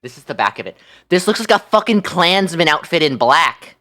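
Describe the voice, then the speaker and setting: A woman livestream host telling a story casually, in an animated, incredulous, slangy way. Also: fairly low pitch, normal speed.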